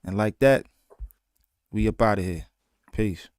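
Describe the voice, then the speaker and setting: Smooth-voiced laid-back man. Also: lowish voice, a little slow, darkish tone, no room sound, studio quality. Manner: laid-back, repeats "you know what I'm saying". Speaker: podcast co-host. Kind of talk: casual boxing podcast chat.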